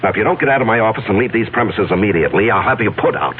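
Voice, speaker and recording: Actor as a brisk, low-voiced executive. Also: male voice, animated, lightly acted. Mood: indignant, stern. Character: brisk male corporate executive in his late thirties, curt. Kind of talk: 1970s radio drama acting. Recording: phone-like old radio recording, faint hiss.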